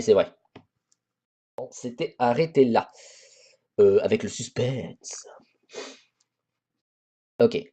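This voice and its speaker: Mellow-voiced man rambling over a game. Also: lowish voice, dull audio. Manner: rambling, crude jokes, frequent restarts. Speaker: let's play host. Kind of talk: casual game commentary.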